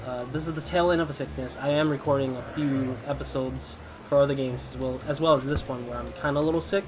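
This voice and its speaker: A man's voice: mid-range voice, fairly even intonation, fast talker. A man doing chatty let's play commentary over a game. He sounds a little stuffy from a cold and rambles. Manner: chatty, rambling, laughs often. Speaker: gaming YouTuber. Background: game music.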